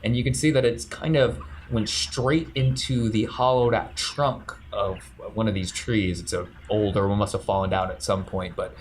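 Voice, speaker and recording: A man's voice: lowish voice, steady tone, normal speed, few pauses, a little hiss or hum. A man acting as game master, explaining dice rules in a methodical way with frequent ums and self-corrections.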